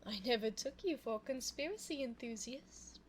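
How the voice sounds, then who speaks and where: A woman playing a sly, evil, seductive immortal vampire in a close-miked ASMR audio roleplay, theatrical and coy, slipping into breathy asides. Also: on the high side, lively intonation, moderate pace, lightly acted. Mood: feigned innocence. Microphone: close binaural 3Dio microphone. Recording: faint background noise, close binaural mic.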